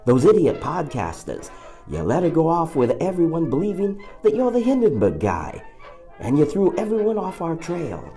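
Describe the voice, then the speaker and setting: A man's voice: a little high, huge pitch swings, moderate pace, warm tone, soft background music. A man playing a chummy, wisecracking henchman to an evil boss in an audio drama, with a folksy, casual manner. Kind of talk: audio drama character acting.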